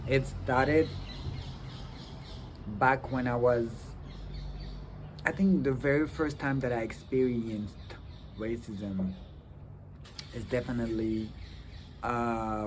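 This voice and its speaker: A man whose first language is French, talking casually to a phone camera on a tripod outdoors in the wind, halting with many ums and restarts. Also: mid-range voice. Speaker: vlogger. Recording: wind noise on a phone mic. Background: wind and outdoor ambience.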